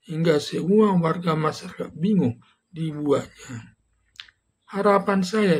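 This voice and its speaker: A man's voice: fairly high pitch, darkish tone, husky. An older man from Indonesia reads a scripted commentary in a formal manner, stumbling now and then over his words. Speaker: legal commentator, retired prosecutor and law lecturer. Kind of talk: scripted commentary, read aloud.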